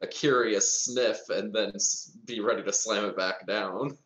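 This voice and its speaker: A man's voice: fairly low pitch, steady tone, unhurried, fairly bright tone. A man chatting casually as a player in a tabletop roleplaying game, recorded with a dull, roomy sound. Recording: dull, echoey room sound.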